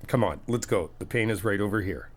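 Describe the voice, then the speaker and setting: A man's voice: lowish voice, a little fast, breathy edge. A man doing a friendly ASMR roleplay, chatty and teasing, reassuring the listener as he helps out. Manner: reassuring, helpful.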